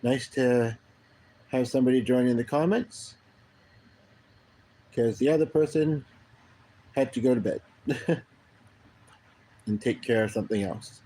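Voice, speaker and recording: A middle-aged man from Canada chatting casually on his own livestream, rambling and halting with many pauses and restarts. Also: mid-range voice, mellow, rough recording. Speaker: livestream host.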